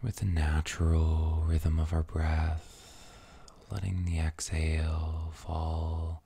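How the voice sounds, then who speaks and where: A man leading a guided meditation in a soft, soothing, breathy near-whisper, gently and with long pauses between phrases. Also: extremely low pitch, completely flat delivery.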